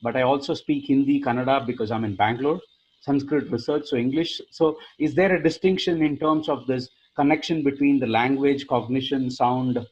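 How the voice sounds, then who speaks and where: A man's voice: medium pitch, moderate pace, a little mellow, breathy edge. A man whose first language is Tamil, asking a question politely and hesitantly in an online seminar. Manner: polite, hesitant, many fillers. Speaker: seminar attendee. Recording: dull lo-fi video call audio.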